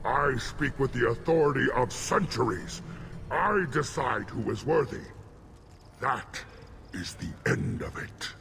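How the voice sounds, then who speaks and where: A man playing an ancient, imperious alien shaman in a video game, solemn and commanding, with a gravelly voice. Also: mid-range voice, animated, takes it slowly, a bit bright, slight background noise, exaggerated voice. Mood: angry, imperious. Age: older. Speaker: clan shaman. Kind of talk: video game cutscene acting.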